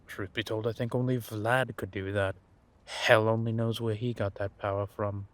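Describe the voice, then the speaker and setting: A man playing a world-weary, wry and menacing vampire intelligence operative in an audio roleplay, confiding in a measured, drawn-out way. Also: lowish voice, flat delivery, natural voice. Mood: wry, amused. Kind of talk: roleplay audio drama monologue addressed to a listener.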